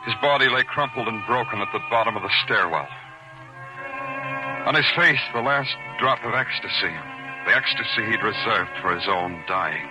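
Actor as a brooding hardboiled detective, music behind. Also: male voice, fairly low pitch, moderate pace, lightly acted, low-quality recording. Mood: somber. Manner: brooding, dramatic, lyrical. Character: hardboiled male police detective, world-weary and poetic narrator. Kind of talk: 1940s noir radio drama narration. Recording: vintage radio recording.

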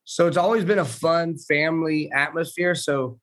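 Earnest man from Florida, halting speech. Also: fairly high pitch. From Central Florida. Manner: relaxed, earnest, halting with fillers. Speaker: car dealership owner, guest. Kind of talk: casual interview answers. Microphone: remote video call.

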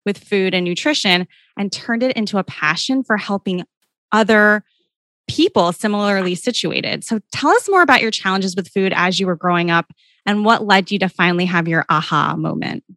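A woman's voice: mid-range voice, animated, normal speed, a bit bright, dry recording. A woman hosting a parenting podcast, bubbly and enthusiastic, with a soothing voice. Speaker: podcast host.

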